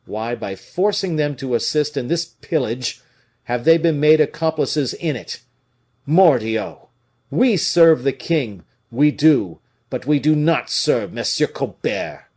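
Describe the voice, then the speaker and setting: Man voicing a fiery, forceful old musketeer. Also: medium pitch, expressive, slow, pitched above the performer's own voice. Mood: outraged, scornful. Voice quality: rough voice. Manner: forceful, scornful, declamatory. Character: fiery, indignant veteran musketeer, gruff old soldier. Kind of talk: dramatic audiobook character reading.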